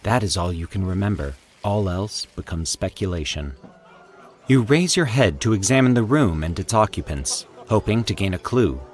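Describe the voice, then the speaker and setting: A man narrating a scripted horror story in a formal, deliberate, theatrical storytelling manner. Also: sits low, lively intonation, a little mellow. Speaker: host and narrator of a fiction podcast.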